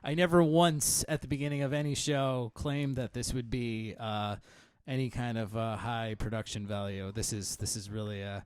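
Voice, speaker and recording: A man's voice: medium pitch, flat delivery, normal speed, hardly any pauses, a little mellow, clean tone, no echo. A man in his thirties from Connecticut hosting a casual home-studio podcast, rambling and self-deprecating with lots of fillers. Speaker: podcast host. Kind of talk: casual chat.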